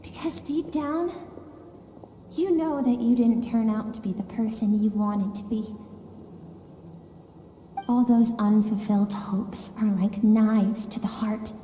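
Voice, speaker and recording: An actress voicing an earnest, gentle young girl who speaks softly and tenderly in a game cutscene. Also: on the high side, slow pace, long pauses, slight background noise. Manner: soft, pleading, tender. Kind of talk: video game cutscene dialogue. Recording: echoey reverberant hall. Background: soft music.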